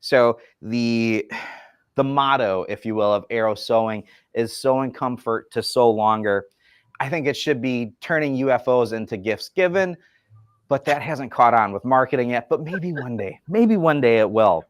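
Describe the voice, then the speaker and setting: A man's voice: mid-range voice, animated, moderate pace, dry recording, studio quality. Upbeat man demonstrating sewing chairs. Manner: enthusiastic, explanatory, folksy. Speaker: furniture company representative. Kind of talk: product demonstration chat.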